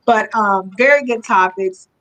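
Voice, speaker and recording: A woman's voice: medium pitch, a little slow, fairly bright tone, rough recording. A woman hosting a live online talk show, upbeat and affirming, often laughing as she wraps up and runs a giveaway. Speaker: host.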